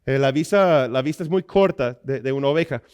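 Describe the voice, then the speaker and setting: A man's voice: mid-range voice, a little fast, darkish tone, smooth. A man from the American Midwest with an American accent, a native English speaker, preaching in an explanatory way with frequent little checking questions. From Iowa, United States. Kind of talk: sermon.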